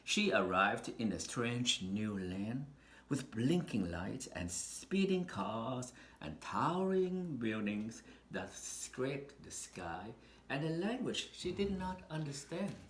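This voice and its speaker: A man reading a children's picture book aloud in an expressive, deliberate storytelling manner with dramatic pauses. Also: mid-range voice. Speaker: storybook reader. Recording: roomy echo with faint background noise.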